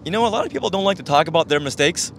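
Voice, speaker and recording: A man's voice: high voice, very animated, rapid-fire, gravelly, fairly bright tone, a little hiss or hum. A man hosting a podcast in a casual, chatty co-host style. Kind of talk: casual co-hosted podcast chat.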